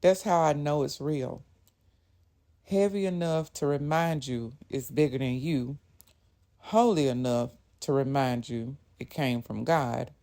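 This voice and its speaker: A woman gives voice to a fatherly older pastor, grave and deliberate. His counsel comes low and unhurried, each line weighted like a blessing. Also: mellow.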